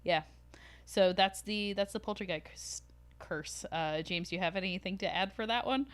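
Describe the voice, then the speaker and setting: A woman's voice: medium pitch, moderate pace, fairly bright tone, clear, resonant tone. A woman co-hosting a podcast, telling stories in a casual, conversational, informal way and swearing freely. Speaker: podcast co-host.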